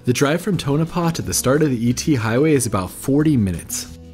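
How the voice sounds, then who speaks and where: A man in his thirties reads a scripted travel narration at a middle pitch, with faint guitar music under him. He explains and recommends plainly, like a guide talking to viewers. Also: animated, fairly quick, a little mellow. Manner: informative, recommending tips to viewers. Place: voice-over recorded apart from footage.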